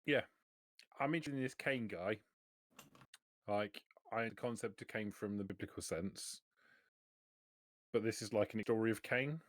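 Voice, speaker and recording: A man's voice: lowish voice, moderate pace, long pauses, no echo. A man chatting casually as a player in a tabletop roleplaying game, with a dry joking manner.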